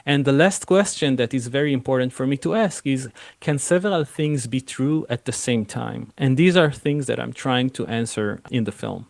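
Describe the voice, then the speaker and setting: A man's voice: mid-range voice, normal speed, creaky, no room sound. A man with an Israeli accent, a film director answering interview questions earnestly, with frequent "you know" fillers.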